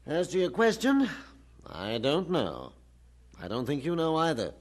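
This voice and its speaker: An actor playing a genial, bemused young male scholar with formal, measured wording in an audio drama. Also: fairly high pitch, huge pitch swings, full character voice. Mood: bemused, encouraging.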